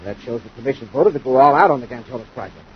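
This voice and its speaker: An actor playing a gruff, grudging henchman pilot, curt and impatient, in a 1950s radio serial. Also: mid-range voice, expressive, racing, close to an everyday voice. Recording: noisy, dull 1950s radio recording.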